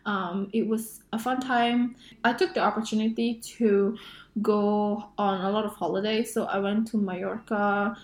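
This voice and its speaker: A woman in her twenties co-hosting a casual podcast chat, telling stories in a relaxed way with frequent laughs. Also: mid-range voice, unhurried. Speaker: podcast co-host. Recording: echoey room.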